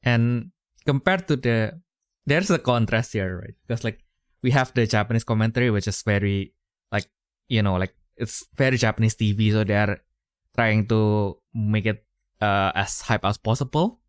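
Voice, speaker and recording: A man's voice: fairly low pitch, moderate pace, clear, resonant tone, no echo, studio quality. A young man, hosting a podcast in casual, rambling chat full of fillers and restarts. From Indonesia. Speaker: podcast host.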